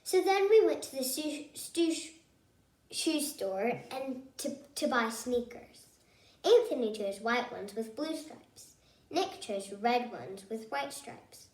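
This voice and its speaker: High-voiced woman reading to children, roomy echo. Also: animated, takes it slowly, darkish tone. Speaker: storyteller for young children. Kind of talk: read-aloud children's picture book narration. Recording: roomy echo, distant mic.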